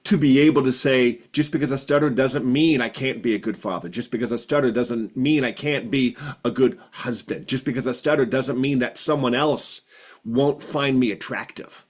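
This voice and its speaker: A man's voice: mid-range voice, normal speed. A man in his fifties who stutters, sharing earnestly and reflectively in a group video call, repeating words for emphasis. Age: 50s. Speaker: support group participant.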